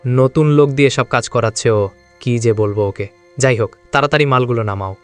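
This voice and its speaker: A man's voice: mid-range voice, fairly quick, soft background music, lightly acted. A man playing a haughty, impatient cartoon boss, curt and contemptuous toward poor labourers. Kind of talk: cartoon dialogue.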